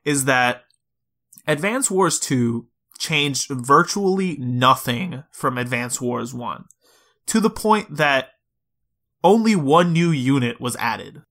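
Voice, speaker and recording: This is a man with a bright, forward voice at a middling pitch. He talks like a podcast host in a casual video game discussion. He lays out his points in a measured way and repeats for emphasis. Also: expressive.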